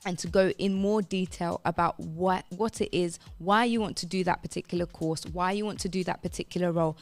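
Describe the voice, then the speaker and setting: A woman's voice: lowish voice, fairly even intonation, brisk, no room sound. A British woman presenting an instructional advice talk on radio in an emphatic, explanatory way, often checking in with short questions. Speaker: radio presenter giving study and application advice.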